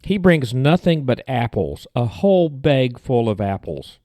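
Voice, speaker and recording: Male joke teller for a podcast segment. Average pitch, dry close recording. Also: very animated, unhurried, dark tone, no echo. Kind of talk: telling a scripted joke.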